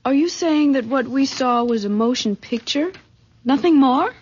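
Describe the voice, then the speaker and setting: A woman's voice: high-pitched, expressive, normal speed, darkish tone, husky. An actress playing a young engaged woman in a radio drama, earnest, breathless and easily overwhelmed. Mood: baffled. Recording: muffled vintage radio drama recording.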